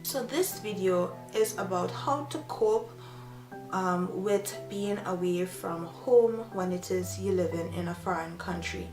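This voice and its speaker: A young woman from Trinidad with a Trinidadian accent, giving earnest, reflective advice in a casual vlog, with frequent fillers. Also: mid-range voice. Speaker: vlogger. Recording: echoey room with faint music. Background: soft piano music.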